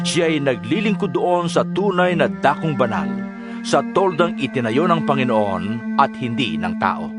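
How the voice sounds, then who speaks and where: A male narrator with a Filipino accent reads scripture in a formal, measured way. His pitch sits in the middle range for a man. Music plays clearly behind him.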